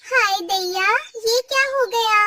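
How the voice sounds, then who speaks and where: A woman's voice: extremely high pitch, slow pace, bright, forceful tone, exaggerated voice. A woman voicing a greedy, spiteful, melodramatic female crow in a cartoon story. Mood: disgusted, outraged.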